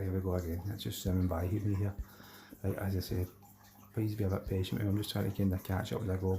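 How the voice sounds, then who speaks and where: Glaswegian male streamer: low-pitched, mellow and creaky, matey, rambling chat with viewers. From Glasgow, Scotland. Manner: matey, rambling, broken phrasing, colloquial Scots wording. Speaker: fishing channel live-stream host. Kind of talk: casual live chat, reading and answering viewer messages.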